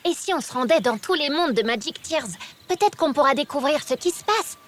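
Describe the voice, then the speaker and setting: High-voiced, chatty cartoon girl. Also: animated, a little fast, slight background noise. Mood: friendly. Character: friendly, chatty, dramatic young cartoon girl. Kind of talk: French cartoon dubbing. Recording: clear music underneath.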